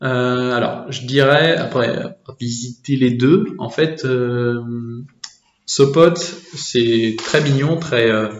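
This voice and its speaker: A young French man from Normandy, answering interview questions casually with many hesitant pauses. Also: mid-range voice, reverberant, muffled recording. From France, Normandy.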